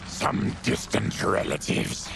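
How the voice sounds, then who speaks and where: An actor playing a noble, solemn mutant warrior in a video game, gravelly and terse. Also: male voice, takes it slowly, background noise, close to an everyday voice. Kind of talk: video game cutscene acting. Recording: music behind the voice.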